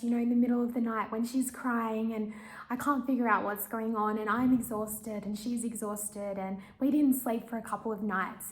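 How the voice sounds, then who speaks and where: A woman in her thirties speaks candidly and reflectively in a personal video diary. Her voice sits in a mid range, soft and unhurried. The recording carries a noticeable room echo. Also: medium pitch. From Australia. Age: 30s. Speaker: vlogger. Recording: echoey room.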